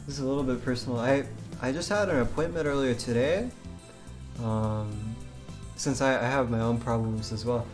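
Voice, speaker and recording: A man's voice: medium pitch, moderate pace, soft background music. A man live streaming in a casual way, chatting and reading a game aloud with a hesitant, stammering delivery that often repeats words.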